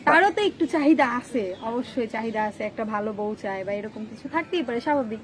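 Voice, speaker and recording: A woman's voice: a little high, lively intonation, a little fast, slight background noise. A twenty-year-old woman with a Bangladeshi accent, answering casual interview questions about her personal life. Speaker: interview guest.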